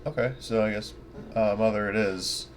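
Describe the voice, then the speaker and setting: A man's voice: lowish voice, steady tone, moderate pace. A man chatting casually as a player in a tabletop roleplaying game, tentatively thinking aloud. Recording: clear background noise.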